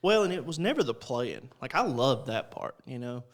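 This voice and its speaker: Man, relaxed, drawling, casual guest chat, medium pitch. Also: normal speed. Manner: relaxed, drawling, lots of fillers. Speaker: guest musician.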